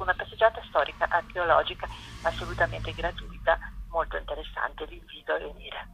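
A woman's voice: on the low side, gravelly, sharp tone, breathy edge. A woman speaking as a festival curator in a spontaneous radio phone interview, often searching for words. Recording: muffled, dull phone line.

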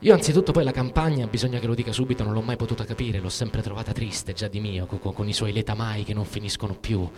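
An actor playing a frightened, cynical soldier who tells of war in a halting dramatic literary monologue, repeating words and breaking off mid-phrase. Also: male voice, on the low side, mellow, natural voice. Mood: weary, bitter. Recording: music under the voice. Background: music.

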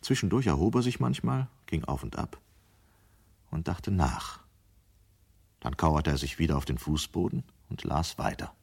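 A man's voice: very deep voice, lively intonation, normal speed, gravelly, pauses often, darkish tone, slightly rough, full character voice. A man narrating a scripted story as the storyteller of a 1970 German radio drama.

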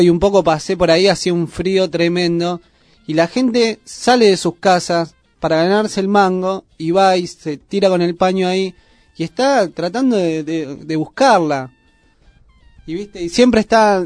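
A man's voice: high voice, no room sound. A man with a Buenos Aires Argentine accent giving his opinion casually as a radio co-host. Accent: Buenos Aires Argentine Spanish. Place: radio studio. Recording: music playing behind the voice. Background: music.

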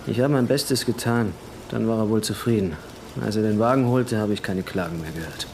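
A low-pitched, offhand garage worker acted by a man in a radio crime drama. He talks casually with a callous, guarded edge. Clear workshop noise sits behind him. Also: fairly quick, a little mellow, close to an everyday voice. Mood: defensive. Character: casual, bigoted male auto body painter.

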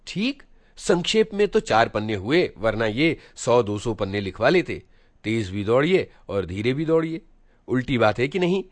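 A man's voice: medium pitch, very animated, moderate pace, no echo, lightly acted. A man voicing a preachy, self-important schoolboy older brother, lecturing pompously with rhetorical questions in a storybook monologue. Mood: exasperated.

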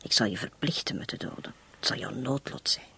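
An actress in a radio drama playing a long-suffering, resolute woman making a confession, her voice breathy and husky, her delivery halting and intense. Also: a bass voice, pushed and bright, in a whisper, exaggerated voice. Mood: grave, bitter.

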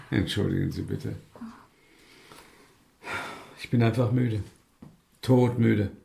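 An actor playing a genteel, weary and odd gentleman scientist in a radio drama, formal, courteous and deliberate. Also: fairly low pitch, vocal fry, heavily acted. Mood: weary, agitated. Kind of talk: German radio drama acting. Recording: roomy binaural interior.

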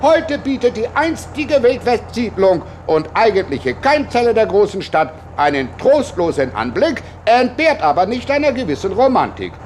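Fussy tour guide, very high swooping voice, over-polite, declamatory, radio comedy, street noise behind. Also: male voice, very animated, moderate pace, hardly any pauses. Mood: grandly declamatory. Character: fussy, obsequious, flustered male city tour guide. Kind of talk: satirical radio play acting.

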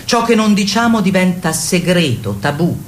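A woman's voice: fairly low pitch, animated, normal speed, a little hiss or hum, natural voice. An actress playing an assertive female therapist who leads a women's group in a didactic, declamatory way in a radio play. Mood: didactic, insistent. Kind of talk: theatrical radio play monologue.

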